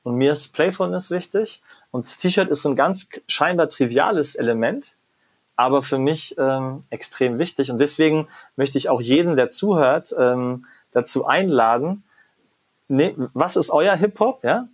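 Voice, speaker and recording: A middle-aged German man, a professor, answering interview questions in a reflective, animated way and often ending phrases with "ja?" or "ne?". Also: fairly high pitch, normal speed, slightly rough. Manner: reflective, animated, frequent "ja?" and "ne?" tags. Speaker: guest, professor of creativity and design.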